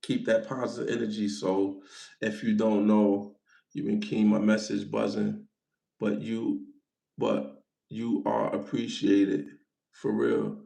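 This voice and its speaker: Livestream host, man, forties, gravelly, playful, repeats himself, roomy sound. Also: on the low side, flat delivery, slow pace, warm tone. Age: 40s. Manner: loose, playful, repeats himself, thanks viewers for gifts. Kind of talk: casual livestream chat.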